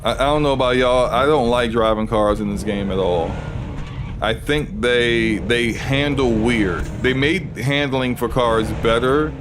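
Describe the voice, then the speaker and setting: Casting: male streamer, deep voice, loose rambling game talk over a noisy game track. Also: a little slow. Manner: rambling, relaxed, frequent restarts. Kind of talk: casual gaming livestream commentary.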